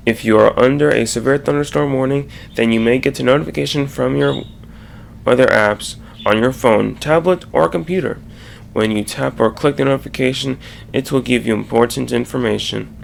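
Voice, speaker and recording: Gravelly man presenting, halting delivery. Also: mid-range voice, a little hiss or hum. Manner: halting, stumbles and restarts mid-sentence. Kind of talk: informal slide presentation, explanatory.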